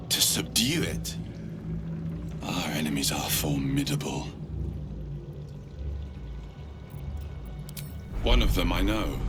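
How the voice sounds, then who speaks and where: Grave scholarly protector, deep voice, formal and portentous, video game dialogue, music behind. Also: male voice. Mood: grave, intent. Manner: formal, solemn, portentous delivery.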